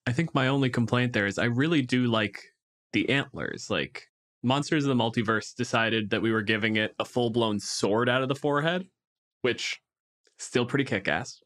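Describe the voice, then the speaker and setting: A man's voice: mid-range voice, moderate pace, fairly bright tone. A man co-hosting a podcast, giving commentary in casual conversation. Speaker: podcast co-host.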